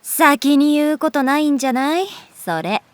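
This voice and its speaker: A woman's voice: high-pitched, animated, normal speed, no echo. A woman playing a wry, aloof but fond teacher in a Japanese audio drama, with a dry, teasing, languid way of talking.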